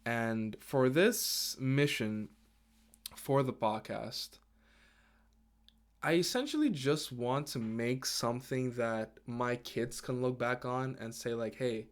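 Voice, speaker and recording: A man in his twenties who grew up in Guyana, hosting his first solo podcast episode in an earnest, halting way, with restarts and fillers. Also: medium pitch. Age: 20s. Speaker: podcast host. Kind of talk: casual solo podcast talk.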